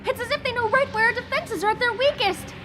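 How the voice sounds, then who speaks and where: A woman's voice: very high voice, fast talker, very noisy. A woman voicing an earnest young bridge crew member in a parody anime dub. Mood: urgent.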